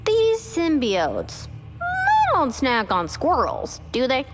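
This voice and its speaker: An actress voicing a quirky, nervous, chirpy young heroine in a video game, with a bubbly, exaggerated manner. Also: female voice, sits high, wildly swooping pitch, slow, over loud music, heavily acted. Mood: worried. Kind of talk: video game character dialogue. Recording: loud noise, clicks, long reverb.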